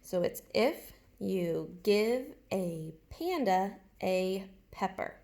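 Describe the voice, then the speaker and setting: A woman's voice: medium pitch, lively intonation, very slow, gravelly, warm tone. A woman teaching a writing lesson to young children, guiding them step by step with questions and spelling words out. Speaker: early elementary teacher.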